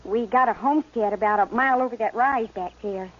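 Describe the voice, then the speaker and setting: A woman's voice: a little high, a little fast, a little hiss or hum, natural voice. A woman playing a wary, plain-spoken young homestead girl in a 1950s radio western, with a simple, childlike manner. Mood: worried, pleading. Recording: muffled vintage radio recording.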